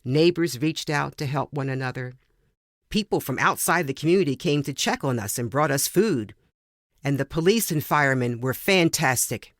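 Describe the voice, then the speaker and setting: A woman reading a first-person story aloud in a measured, dramatic way with deliberate pauses. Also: low voice, animated, dry recording, studio quality. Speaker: podcast reader.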